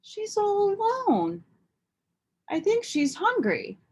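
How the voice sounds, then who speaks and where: A woman's voice: very high-pitched, huge pitch swings, slow, a little mellow, voice pushed up, heavily acted. A woman voicing an eager, kindhearted young schoolgirl with an earnest, pleading manner in a children's storybook read-aloud.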